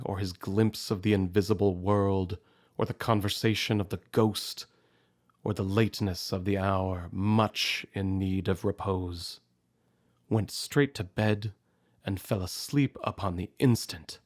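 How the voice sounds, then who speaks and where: A man giving solemn, deliberate dramatic narration of a story. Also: on the low side, fairly even intonation.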